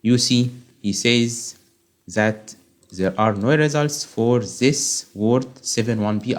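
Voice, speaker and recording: Man, native Arabic speaker, Arabic accent, mid-pitched voice, informal online instructor, step-by-step explaining. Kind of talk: informal screen-share tutorial, explaining step by step.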